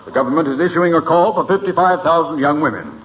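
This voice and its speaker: A man's voice: on the high side, quick pace, lightly acted. Man playing a pompous small-town mayor, tinny radio. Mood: earnest oratory. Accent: American. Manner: pompous, formal, sputtering protest. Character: earnest, pompous, easily exasperated small-town male mayor. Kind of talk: 1940s radio comedy acting. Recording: tinny, noisy 1940s radio broadcast.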